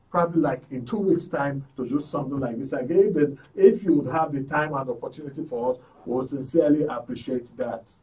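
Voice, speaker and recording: A man with a Nigerian accent hosting a live radio talk show, speaking effusively in a halting, repetitive way. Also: fairly high pitch, darkish tone, far from the mic, poor audio. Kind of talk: live broadcast sign-off, thanking guests.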